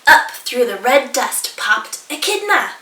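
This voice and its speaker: A woman teacher reading a picture book aloud to children in an animated, deliberate way that invites them to join in. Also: fairly high pitch, slight background noise. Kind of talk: expressive children's storybook reading. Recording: roomy echo, distant mic.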